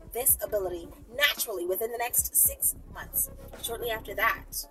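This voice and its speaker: An American woman reading a story aloud as a channel host, expressive and sassy, dropping in casual asides. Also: a little high, rough recording.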